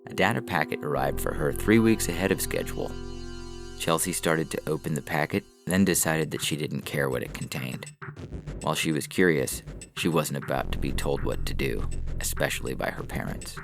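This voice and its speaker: A man with a gravelly, creaky voice narrating a scripted science fiction story. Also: a bass voice, moderate pace, over music. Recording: clear background noise with clicks.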